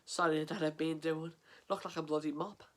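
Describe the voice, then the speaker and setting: An English man speaks to camera in a casual vlog, his voice stuffy and sniffling from a head cold. He talks in an earnest, halting way, full of ums and restarts, and his pitch stays level and flat throughout. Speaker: mental health vlogger.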